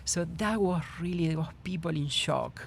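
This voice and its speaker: A middle-aged man from Argentina speaks with an Argentine Spanish accent and a gravelly edge to his voice. He talks thoughtfully, often restarting and repeating words as he searches for the phrasing. Faint noise hums behind him. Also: fairly high pitch, moderate pace. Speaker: guest trauma therapist. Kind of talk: podcast interview.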